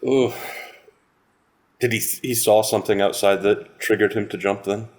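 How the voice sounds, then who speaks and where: A man's voice: lowish voice, fairly even intonation, moderate pace. A man in casual joking chat on a podcast, tossing out dry asides. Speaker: podcast panelist.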